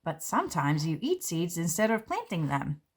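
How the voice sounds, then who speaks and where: Gentle woman reading a picture book. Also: lowish voice, huge pitch swings, moderate pace, husky. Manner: gentle, explanatory, with light fillers. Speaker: children's book author reading her own book. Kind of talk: read-aloud and book walkthrough. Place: indoors at a table.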